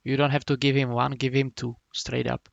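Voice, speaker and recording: Gravelly-voiced man coaching. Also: mid-range voice, level tone, no echo, muffled recording. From Czech Republic. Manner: halting, many restarts and hums while explaining. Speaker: game coach. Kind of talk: casual coaching talk.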